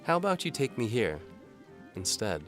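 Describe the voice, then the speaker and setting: Man voicing a forward young maid, pitched high. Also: sing-song, moderate pace, over music, close to an everyday voice. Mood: coy.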